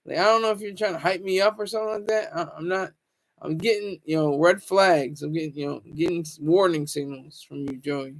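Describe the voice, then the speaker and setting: A man's voice: fairly high pitch, steady tone, a little fast, rough recording. A man hosting a casual livestream, rambling, teasing viewers and stumbling over restarts. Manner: stammers and restarts often. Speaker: livestream host.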